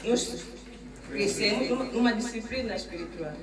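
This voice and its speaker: Um homem que interpreta ao vivo uma pregação para o português, repetindo cada frase logo após o pregador. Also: male voice, high-pitched, recorded from a distance, a crowd in the background. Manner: quick, repeats each phrase after the preacher. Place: church gathering. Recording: echoey hall recorded from afar. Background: congregation.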